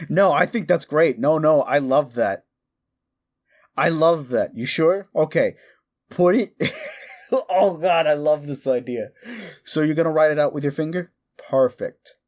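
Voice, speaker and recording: Male actor as delighted, mischievous accomplice in comedy roleplay; excitable chatty delivery, raised pitch. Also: unhurried, long pauses, lightly acted. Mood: delighted, amused. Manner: excited, hesitant, chatty asides to a listener. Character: gleeful, mischievous young man, amused accomplice in dark prank.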